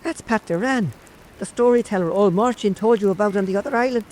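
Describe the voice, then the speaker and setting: An actor playing a friendly, plain-spoken Irish islander in a radio drama, with an Irish accent. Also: male voice, sits high, brisk, a little mellow, slight background noise, natural voice, poor audio. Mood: reassuring.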